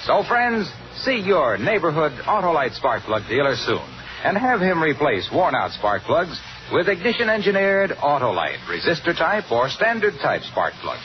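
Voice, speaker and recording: Male commercial announcer, 1950s radio. Jovial, lively, florid patter, higher register, noisy vintage sound. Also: moderate pace, dull audio. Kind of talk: 1950s radio advertising pitch.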